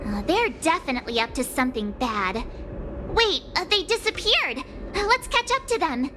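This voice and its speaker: An impulsive teenage girl adventurer from a video game, with an extremely high, perky voice. Her pitch jumps around in an eager, animated way. Music plays clearly underneath. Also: moderate pace, exaggerated voice. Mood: alarmed.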